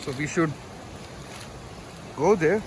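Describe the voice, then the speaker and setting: A man, chatting excitedly as he hosts a trekking vlog outdoors. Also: on the high side, slow, background noise. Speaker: vlogger host. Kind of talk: casual trekking vlog chat. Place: outdoors on a forest trail.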